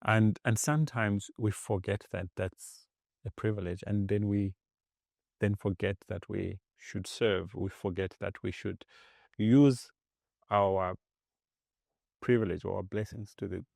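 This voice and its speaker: A man from Zambia, a tech executive, talking as a podcast guest in an animated way, often restarting and repeating words. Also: lowish voice, measured pace, vocal fry, dry recording, clean studio sound. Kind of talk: casual interview talk.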